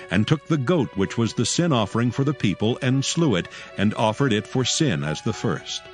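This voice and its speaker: A man narrating scripture in a steady, solemn, measured reading. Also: fairly low pitch. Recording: narration over clear music bed. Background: music.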